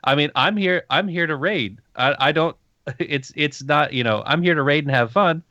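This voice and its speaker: A man's voice: fairly high pitch, normal speed, sharp tone, no room sound. Man, podcast co-host, rambling, restarts often, upbeat, dry close sound, muffled audio. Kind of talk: casual podcast chat.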